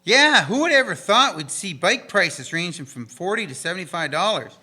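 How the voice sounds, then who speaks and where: Man acting a bright, animated, folksy 1890s shop hand. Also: sits high, moderate pace, exaggerated voice. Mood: admiring. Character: folksy, admiring 1890s bike shop worker Joe. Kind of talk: community radio drama acting in early live radio manner.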